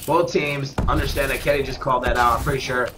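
A man with a stuffed-up nose, commentating live at a gaming tournament, getting excitable on big kills. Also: mid-range voice, fast. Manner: excitable, shout-outs to viewers, bursts of hype on kills. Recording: noisy with game gunfire behind.

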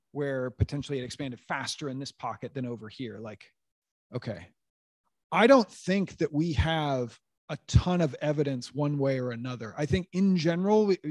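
A man in his thirties, slightly high-voiced, hosts a science panel on a handheld mic in a lecture room. He thinks aloud and often restarts sentences. The sound is dry and close. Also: darkish tone, dry recording. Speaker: panel moderator and astronomer. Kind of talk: casual panel Q&A moderation.

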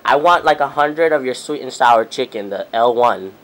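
A young adult man talks casually, as if placing an order on a phone call. His delivery is halting and he often repeats himself. His pitch sits in an ordinary middle range. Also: lively intonation, fairly bright tone. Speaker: prank caller, video host. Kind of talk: casual phone prank call, playing a customer. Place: small room at home.

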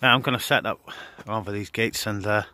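A man's voice: lowish voice, steady tone, fast, pushed and bright, no echo. A man chatting casually as a radio hobbyist recording a vlog outdoors on a handheld device. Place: outdoors at night on a hillside. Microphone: handheld camera or phone.

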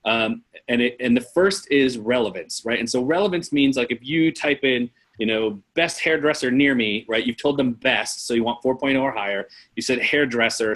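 A man's voice: medium pitch, a bit bright, husky. A man in his forties explaining things conversationally as a guest expert on a video call, often checking the listener follows. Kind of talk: casual explanatory chat with anecdotes. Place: indoor room on a video call.

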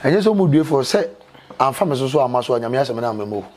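A man's voice: mid-range voice, big pitch swings, fast talker, a little mellow. A Ghanaian-accented man, around his thirties, speaking emphatically as an interview guest and often repeating himself. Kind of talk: animated conversational interview, switching between two languages. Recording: clear background noise, ambient sound.

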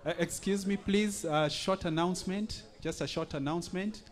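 A man's voice: high-pitched, moderate pace. A man politely making a short church announcement to a gathering.

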